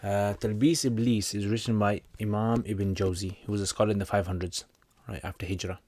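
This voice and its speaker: Low-voiced man chatting casually. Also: flat delivery, a little fast, darkish tone, slight background noise. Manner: jokey, rambling, with slangy asides. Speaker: Islamic teacher hosting a livestream Q&A. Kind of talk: casual livestream chat, answering viewer comments.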